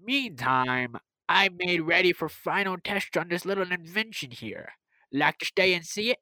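A low, dry-recorded voice with swooping intonation: a woman acting an absorbed, kindly doctor of the future. The delivery is deliberate and soothing, with scholarly hesitations, in the style of old radio drama. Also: lively intonation, bright, forceful tone, no room sound. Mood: pleased.

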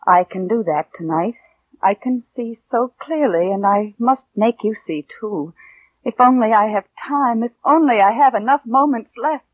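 An actress playing a bitter, downtrodden orphan niece, narrating with restrained intensity in a 1940s radio drama. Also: female voice, a little high, normal speed, no echo, lightly acted. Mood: urgent, intense. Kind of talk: 1940s radio acting, first-person narration. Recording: narrow-band vintage radio recording with music.

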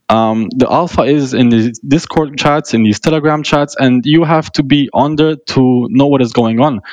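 A young man from Romania tells his story as a podcast guest, earnest and unhurried, with a steady ordinary pitch and frequent ums. His voice sounds close and dry, with almost no room around it. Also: no echo, low-quality recording. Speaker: guest, portfolio manager. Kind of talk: casual interview answers.

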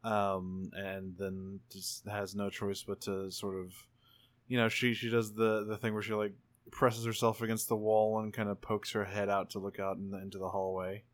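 Low-pitched casual male player. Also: monotone, normal speed. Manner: informal, hedging, self-repeating. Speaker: tabletop game player. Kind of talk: casual improvised game narration.

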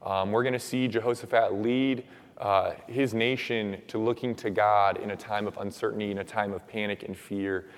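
Raspy young man preaching in a hall. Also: on the low side, steady tone, normal speed. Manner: casual, filler-heavy, earnest. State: hoarse from shouting at camp. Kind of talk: sermon with prayer. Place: church hall. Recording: long reverb of a church hall.

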